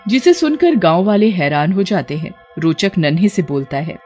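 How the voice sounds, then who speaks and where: A woman's voice: lowish voice, big pitch swings, moderate pace, darkish tone, faint music behind. A woman reading scripted narration for a horror cartoon story.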